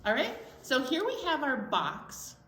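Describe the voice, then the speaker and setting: Female children's co-host, slow and simple explanations aimed straight at little listeners, in an echoey room. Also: high-pitched, huge pitch swings, bright, forceful tone. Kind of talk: simple presenting to young children. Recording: echoey room, faint background noise.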